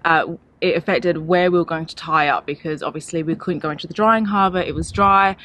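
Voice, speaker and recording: A woman's voice: fairly low pitch, fairly quick, fairly bright tone. A woman in her thirties, a sailing vlogger, talking casually and plainly to her viewers outdoors. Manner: friendly, explains plainly to camera. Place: outdoors by the sea.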